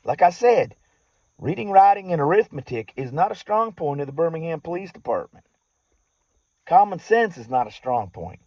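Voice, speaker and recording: A man with a Southern US accent telling a story informally in a folksy, sardonic way, with drawn-out emphasis and rustic slang. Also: a little high, no echo. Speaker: vlogger telling personal stories. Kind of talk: informal storytelling monologue to camera. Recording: dull lo-fi audio.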